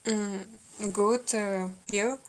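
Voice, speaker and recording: A woman's voice: mid-range voice, steady tone, drawn-out words. An eighteen-year-old woman from Russia, chatting on a video call in simple, broken English and giggling often. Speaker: stranger on a video chat. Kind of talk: casual video chat.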